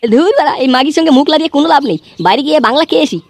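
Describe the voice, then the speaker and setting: A man's voice: very high voice, lively intonation, rapid-fire. A man voicing a crude, foul-mouthed drunken husband in a comedy cartoon, slurring and grumbling. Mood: irritated. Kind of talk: amateur comedy cartoon voice acting.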